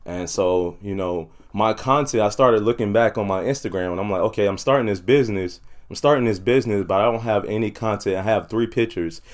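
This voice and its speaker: A man with a low-pitched voice speaks as an entrepreneur being interviewed. He is talkative and conversational, padding his sentences with frequent "you know"s in a casual, unscripted way. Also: fairly quick, few pauses. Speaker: podcast guest, entrepreneur.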